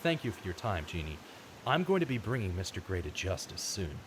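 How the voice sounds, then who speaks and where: An earnest investigator, swooping delivery. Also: male voice, sits low, big pitch swings, fairly quick, noisy background. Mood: earnest questioning. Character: earnest, troubled male investigator, bitter at injustice. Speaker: narrator and investigator in an audio drama. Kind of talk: scripted radio drama acting with monologue narration.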